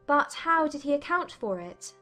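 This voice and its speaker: A woman playing a playful, witty young gentlewoman in a dramatized period audiobook, arch and affectionately teasing. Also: sits high, big pitch swings, moderate pace, faint music behind, heavily acted.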